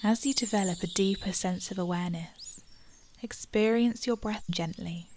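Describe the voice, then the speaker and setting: A woman guiding a gratitude meditation in a slow, soft, soothing voice that drops to a breathy near-whisper at times. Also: medium pitch, a little hiss or hum. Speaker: meditation guide. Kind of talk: guided meditation.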